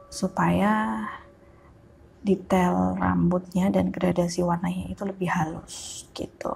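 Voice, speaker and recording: A woman's voice: medium pitch, creaky, darkish tone, slight background noise, low-quality recording. A woman with a thick Javanese Ngapak accent and a congested, nasal voice, giving casual unscripted tutorial commentary. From Java, Indonesia. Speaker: digital illustration tutor. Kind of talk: casual unscripted tutorial commentary over a speed-art video.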